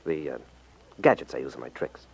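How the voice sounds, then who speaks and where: Breezy, animated radio detective. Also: male voice, extremely low pitch, fairly quick, vocal fry, slightly rough, no echo, exaggerated voice. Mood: casual, assured. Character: wry, cocksure magician detective, a man. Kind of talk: 1950s radio drama acting. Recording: dull, muffled 1950s radio recording.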